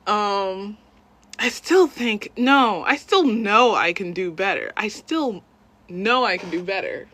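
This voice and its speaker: A woman's voice: medium pitch, expressive, slow pace, a bit bright. A young woman, a self-taught cover singer and dancer, chatting casually on a livestream in a rambling, self-deprecating way.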